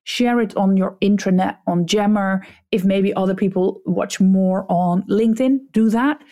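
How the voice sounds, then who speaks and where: A woman's voice: medium pitch, fairly even intonation, a little slow, warm tone. A woman with a Dutch accent, whose first language is Dutch, hosting a solo podcast and giving direct, blunt advice to leaders. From Netherlands. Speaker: podcast host, customer experience coach.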